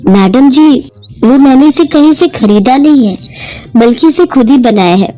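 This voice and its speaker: A woman plays a poor young working woman from a cartoon moral tale. She speaks earnestly and respectfully in a fairly high, soft-edged mellow voice, with music underneath. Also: expressive, normal speed. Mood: shy. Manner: deferential, earnest. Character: poor, humble, hardworking young hotel worker woman, earnest and wistful. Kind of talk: Hindi moral-story cartoon acting.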